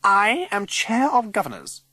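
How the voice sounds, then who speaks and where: A man's voice: high voice, wildly swooping pitch, unhurried, sharp tone, no echo, voice pushed up, full character voice. A man voicing a pompous, snobbish woman who chairs the school governors, barking imperiously in a children's audiobook. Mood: indignant, imperious.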